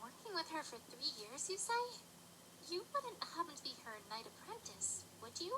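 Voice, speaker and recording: Woman acting an earnest, high-voiced young pony princess. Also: big pitch swings, normal speed, noisy background. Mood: subdued, curious. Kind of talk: audio drama voice acting. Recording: music behind, played in reaction video.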